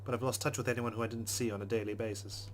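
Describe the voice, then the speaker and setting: A man's voice: mid-range voice, fairly even intonation, quick pace, slight background noise. A man reading a visual novel aloud as a let's player, slipping between narration and casual commentary.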